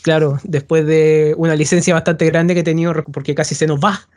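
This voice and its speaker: A man's voice: fairly high pitch, level tone, fairly quick, a little mellow. A man hosting a podcast, talking casually and rambling with frequent restarts. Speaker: podcast host.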